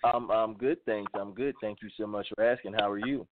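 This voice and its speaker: A man's voice: on the low side, steady tone, a little fast, background noise. A man hosting an online radio show, welcoming and affirming his guest. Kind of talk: interview hosting. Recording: dry, phone-like sound.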